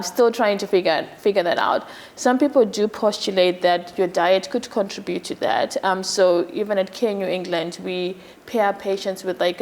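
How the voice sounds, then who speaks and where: A woman surgeon from Zimbabwe in her thirties, giving a TV interview in a soft, hesitant way with many fillers. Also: fairly low pitch. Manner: soft-spoken, many fillers, laughs easily. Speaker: gynecologic surgeon, guest.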